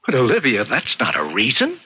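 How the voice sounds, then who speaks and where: An actor playing an earnest, incredulous doctor in an old-time radio drama, pleading and emphatic. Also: male voice, mid-range voice, huge pitch swings, a little fast, sharp tone, breathy edge, no echo, heavily acted. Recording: dull vintage radio recording.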